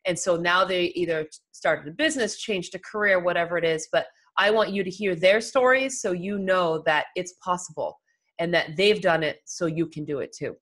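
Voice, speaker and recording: A woman's voice: on the low side, expressive, fast, fairly bright tone. A woman hosting a podcast, chatty and encouraging as she introduces and questions her guest. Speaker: podcast host. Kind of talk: casual interview talk.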